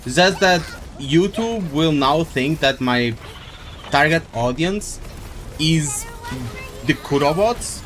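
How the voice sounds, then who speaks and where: Slow-paced man with lively, swinging pitch. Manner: rambling, thinking aloud, swears casually. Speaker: game streamer. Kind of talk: casual live-stream chat while playing. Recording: game music behind the voice.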